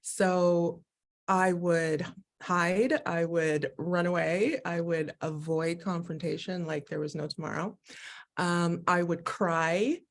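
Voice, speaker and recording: A woman speaking as a guest on a live video call, telling her own story in an animated, expressive way and often laughing as she talks. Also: fairly low pitch, slow. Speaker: guest, Human Design educator. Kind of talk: candid personal conversation.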